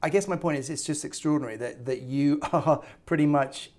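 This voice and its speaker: Rambling, halting male interview host. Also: on the high side, darkish tone. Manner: rambling, halting, many restarts and fillers, enthusiastic. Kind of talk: conversational interview.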